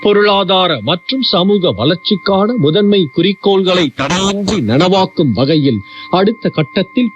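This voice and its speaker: A man reading scripted news in a formal, measured manner over a shortwave radio broadcast. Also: high voice. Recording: dull, hissy shortwave radio with hum.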